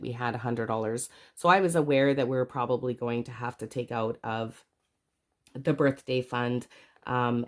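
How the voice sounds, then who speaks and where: A middle-aged woman from Canada, congested from a cold, chatting casually to camera about her budget with rambling, self-correcting asides. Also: extremely low pitch. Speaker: budgeting vlogger.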